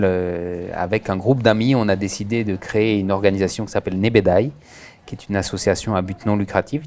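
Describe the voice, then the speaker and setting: Man, low voice, earnest, hesitant, many fillers and restarts, interviewee. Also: darkish tone. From France, raised in Senegal. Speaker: director of a Senegalese association. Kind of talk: interview answers.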